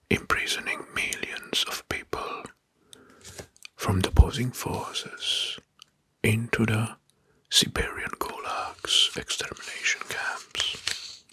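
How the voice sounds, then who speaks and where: A man narrating in a whispered ASMR style, slow and deliberate with a breathy voice, close to the mic. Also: lowish voice, no room sound. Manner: slow, deliberate, repeats words softly. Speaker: host of ASMR history video. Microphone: close mic, dry.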